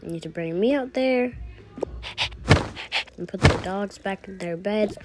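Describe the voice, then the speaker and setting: A woman's voice: mid-range voice, unhurried. A woman casually improvising narration for a toy-app roleplay video, thinking aloud through each small action. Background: music.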